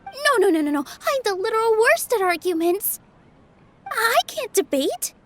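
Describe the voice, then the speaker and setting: A woman voicing a gentle, shy young dancer who sounds soft-spoken and unsure of herself, in a video game with music behind her. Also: very high-pitched, sing-song, normal speed, exaggerated voice. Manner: soft-spoken and self-doubting.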